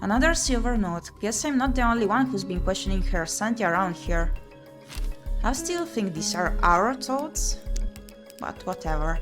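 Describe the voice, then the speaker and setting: A woman narrates a visual novel as she plays, reading the text aloud with dramatic expression. Her pitch sits in the middle range for a woman. Game music plays clearly behind her voice. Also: lively intonation, normal speed. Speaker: VTuber streamer playing a visual novel.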